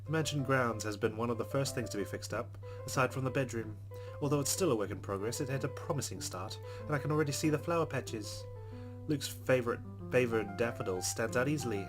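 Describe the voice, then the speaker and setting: A man reading a visual novel aloud, with wry asides. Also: medium pitch. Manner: wry asides between narration. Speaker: game streamer.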